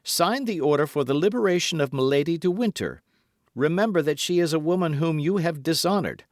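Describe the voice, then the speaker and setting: A man's voice: medium pitch, big pitch swings, measured pace, no room sound, clean studio sound, pitched above the performer's own voice. A man voicing a fervent young fanatic in an audiobook, pleading and threatening in an impassioned, insistent way. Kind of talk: dramatic audiobook character acting.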